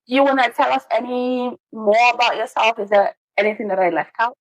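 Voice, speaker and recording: A woman's voice: fairly high pitch, fairly even intonation, a little fast, dry recording, muffled recording. A woman from Sierra Leone hosting a podcast, chatting casually and expressively with her guest, often laughing. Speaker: podcast host. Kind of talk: casual interview chat. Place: studio in Edmonton, Canada.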